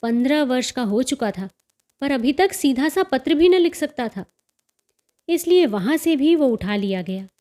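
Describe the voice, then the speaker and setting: A woman's voice: high voice, expressive, a little slow, dark tone. A woman reading a literary story aloud as a scripted audiobook-style narration for her listeners. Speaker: storyteller and channel host.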